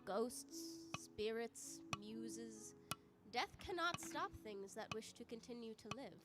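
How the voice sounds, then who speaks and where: A woman playing a serene, cryptic immortal spirit in a radio drama, speaking in a deliberate, oracular way. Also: a little high, lively intonation. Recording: voice under loud music.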